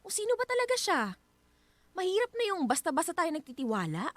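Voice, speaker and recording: A woman's voice: very high voice, big pitch swings, a little fast, dry recording. A woman acting as a wary, insistent wife in a Filipino radio drama, earnest and polite but nagging. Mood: worried, wary.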